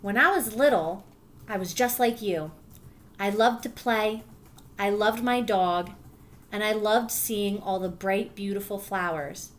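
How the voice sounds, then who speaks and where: A woman teacher reading a picture book aloud to her class, stopping to explain and check understanding. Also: medium pitch. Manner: warm encouraging teacher voice, pausing to explain.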